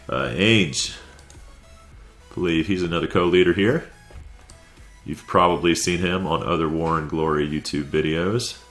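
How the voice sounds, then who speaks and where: A man's voice: sits low, a bit bright. A man with a stuffy head cold giving laid-back, conversational play-by-play commentary over a video game recap. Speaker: clan war recap commentator.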